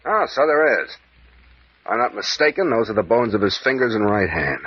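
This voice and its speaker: Wry, husky adventurer captain, old radio. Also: male voice, fairly low pitch, big pitch swings, fairly quick. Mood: musing. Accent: American. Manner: needling, interrogating, sardonic. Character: bold, wry, probing male adventurer captain. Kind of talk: old-time radio serial acting. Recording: muffled, echoey vintage radio recording.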